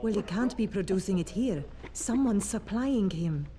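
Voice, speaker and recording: A woman playing a composed, authoritative survivor-camp doctor in a video game, brisk and commanding. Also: mid-range voice, expressive, dark tone, natural voice. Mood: concerned, brisk. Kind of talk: video game cutscene dialogue.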